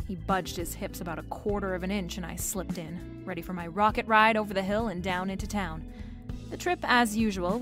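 A woman's voice: medium pitch, natural voice. A woman playing a wisecracking, flirtatious private eye, narrating a noir radio drama in a wry, playful way.

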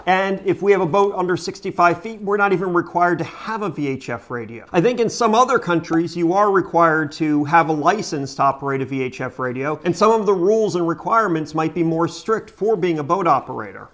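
Higher-pitched middle-aged American man. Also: animated, brisk, few pauses, fairly bright tone. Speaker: boating video host. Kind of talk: explanatory talk to camera. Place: home kitchen, indoors.

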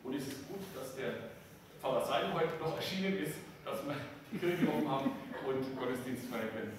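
A man's voice: mid-range voice, lively intonation, fairly quick. Echoey male pastor leading a church service. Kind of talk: church service greeting and liturgy. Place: inside a small church. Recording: very echoey church, far mic, faint noise.

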